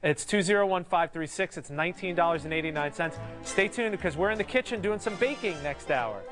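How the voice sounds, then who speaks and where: A man's voice: high-pitched, expressive, a little fast, fairly bright tone, faint music behind. A shopping channel host, a man, giving a casual, upbeat product presentation.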